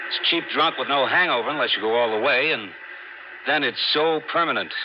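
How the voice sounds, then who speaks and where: An actor as a breezy, wisecracking diving buddy, muffled. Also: male voice, mid-range voice, fairly quick, close to an everyday voice. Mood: wry, warning. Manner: jaunty, colloquial, wry. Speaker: supporting actor. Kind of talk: old-time radio drama acting. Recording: muffled noisy old radio recording.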